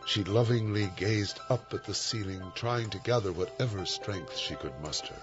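Man, acted, solemn storyteller, dramatic scripted narration, low pitch, muffled recording. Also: normal speed, natural voice. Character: solemn male storyteller of a morality tale. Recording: muffled narration over music.

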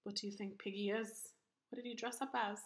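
A woman's voice: mid-range voice, fairly even intonation, a little fast. A middle-aged woman reading a picture book aloud to children and putting questions to them, recorded in a home kitchen. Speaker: storytime reader.

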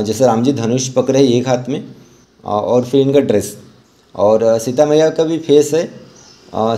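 A man's voice: medium pitch, warm tone. A man with an Indian accent giving an informal, encouraging step-by-step drawing tutorial as an art teacher. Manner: instructional, encouraging. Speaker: rangoli art teacher and channel host.

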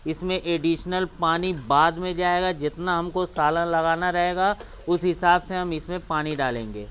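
A man's voice: fairly high pitch, moderate pace, fairly bright tone, muffled recording, slight background noise. A man hosting a cooking channel, walking through each step of a recipe outdoors beside a clay stove. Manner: explains each step, points things out.

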